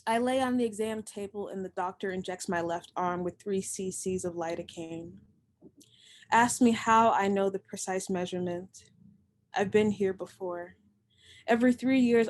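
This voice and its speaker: A young woman reads her poetry at home over a computer mic, her voice sitting at an ordinary middle pitch. She recites slowly and deliberately and gives each line its weight. Between poems she drops into loose, chatty remarks. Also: slight background noise. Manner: slow, measured, deliberate recitation. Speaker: poet and host of the reading. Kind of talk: poetry reading.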